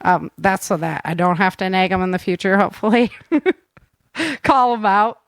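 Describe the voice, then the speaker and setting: A woman's voice: medium pitch, normal speed, no echo, studio quality. A woman hosting a podcast in casual, upbeat and informal chat. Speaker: podcast host.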